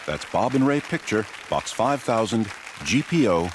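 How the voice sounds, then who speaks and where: A man working as a radio announcer, reading a scripted announcement clearly meant for listeners. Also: fairly low pitch, sing-song, moderate pace, background noise. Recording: loud music and crowd under announcer.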